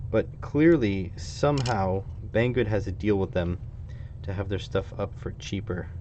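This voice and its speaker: A man's voice: on the low side, unhurried, dark tone. A man with a Canadian accent chatting casually on a live stream, rambling and thinking aloud as he works on a hobby project. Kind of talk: casual live stream chat while working. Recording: dull, slightly lo-fi with faint noise.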